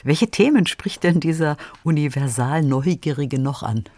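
Lively, mellow woman presenting on radio. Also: low voice, normal speed, no room sound. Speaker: radio presenter of book reviews. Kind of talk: scripted moderation with questions.

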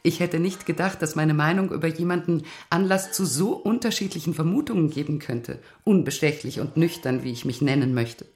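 Woman acting low-voiced, dignified older lady. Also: fairly quick, few pauses, a little mellow, natural voice. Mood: amused, composed. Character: dignified, sober older lady of rank, firmly opinionated. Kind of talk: German radio play acting.

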